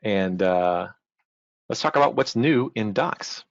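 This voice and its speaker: A man's voice: lowish voice, expressive, slow, gravelly, dry recording. A man giving an informal, explanatory technical presentation online. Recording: dull lo-fi online-call audio.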